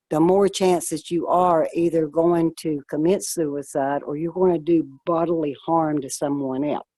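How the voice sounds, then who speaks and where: A woman chatting casually, telling a story in a quick, chatty way. Her voice sits low and sounds dark and mellow, recorded close and dry. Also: no room sound. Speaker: guest in a wellness group chat. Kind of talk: casual chat.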